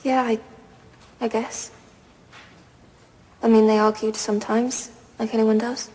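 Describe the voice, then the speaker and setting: A woman playing a reluctant teenage schoolgirl witness in a courtroom drama, speaking haltingly. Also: a little high, flat delivery, dark tone, big-room sound, close to an everyday voice. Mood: uneasy. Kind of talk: naturalistic courtroom drama acting.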